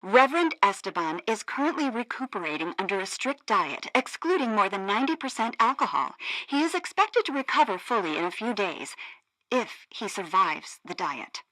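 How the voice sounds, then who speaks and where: A woman's voice: mid-range voice, big pitch swings, normal speed, sharp tone, no room sound, rough recording. A woman playing a chirpy, polished female news presenter on a satirical state radio show. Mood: newsy.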